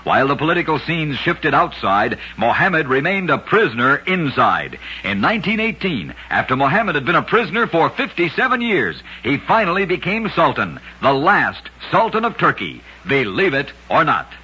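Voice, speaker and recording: A male radio storyteller with a forceful, very raspy voice. He reads scripted oddities dramatically and deliberately, his pitch moving in lively swings. The recording is dull, like an old broadcast. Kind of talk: scripted narration of short oddity stories, vintage radio.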